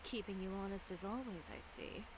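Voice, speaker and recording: A woman's voice: mid-range voice, fairly quick, close to an everyday voice. A woman voicing a stern, composed adult fashion designer who questions others in a cool, strict way. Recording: game audio with background noise.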